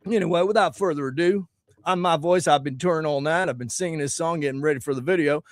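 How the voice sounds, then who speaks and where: A man's voice: a little high, fast, dry recording. A man, ranting crudely and preachily to camera about politics while plugging his song, his voice a little scratchy from singing. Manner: loud, crude, preachy, swearing constantly. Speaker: musician promoting his song. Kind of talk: profane political rant to camera.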